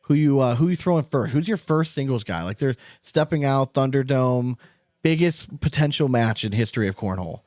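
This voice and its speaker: A man's voice: mid-range voice, expressive, a little fast, darkish tone, dry recording, studio quality. A man co-hosting a sports podcast in casual banter, with a blunt, slangy manner. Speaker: podcast co-host.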